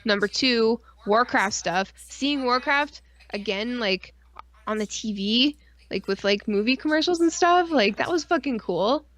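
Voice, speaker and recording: Girl on a podcast stream, slightly high voice, super informal n into it. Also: lively intonation, measured pace, fairly bright tone. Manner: informal, enthusiastic, frequent swearing. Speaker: podcast co-host. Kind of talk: casual livestream chat.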